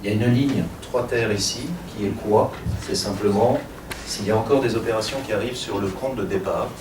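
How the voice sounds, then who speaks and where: A man's voice: lowish voice, a little fast. A man presenting a technical workshop to a room of attendees, explaining step by step with frequent fillers and light asides. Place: conference room. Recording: echoey room from far off, audible noise.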